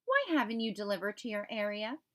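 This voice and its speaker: A woman's voice: mid-range voice, big pitch swings, brisk, a bit bright, pitched below the performer's own voice. A woman voicing a stern male hare, a noble leader in a storybook, speaking formally and demandingly.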